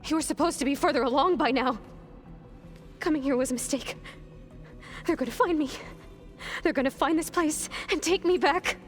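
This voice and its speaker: An actress playing an anxious young woman made on a ship, speaking in a halting, clinical way in a game cutscene. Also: sits high, soft background music, close to an everyday voice. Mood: panicking. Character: anxious young woman clone, fearful, skittish. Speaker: game character. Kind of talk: video game cutscene acting.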